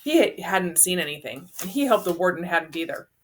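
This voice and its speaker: A woman's voice: lowish voice, very animated, brisk. A woman narrating a children's novel, reading it aloud as a storyteller.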